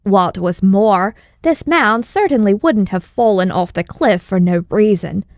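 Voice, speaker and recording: A woman's voice: medium pitch, lively intonation, a little slow, a little mellow, no room sound. Woman narrating an audiobook, very dry sound. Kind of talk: scripted story narration.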